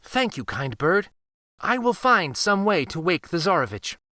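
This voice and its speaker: Storybook heroine read by a man: young, earnest, brave, bright tone with lively rises. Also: high voice, a little slow, no room sound, studio quality, pitched above the performer's own voice, heavily acted. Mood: resolved.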